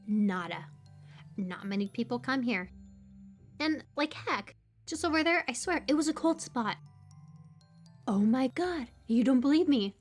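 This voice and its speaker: A woman's voice: a little high, close to an everyday voice. A woman playing a hyperactive, kooky young stranger in an audio roleplay, rambling and stammering through playful, slangy banter addressed to the listener. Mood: playful, sheepish, then teasing, indignant. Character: hyperactive, chatty, kooky young female cemetery stranger, teasing.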